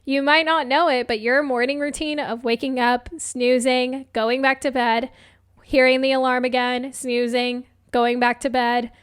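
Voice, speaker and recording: Hoarse upbeat young woman podcaster. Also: high-pitched, little pitch movement, unhurried, no echo, clean studio sound. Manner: chatty, upbeat, laughs often, many fillers. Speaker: podcast host and life coach. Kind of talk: casual solo podcast talk.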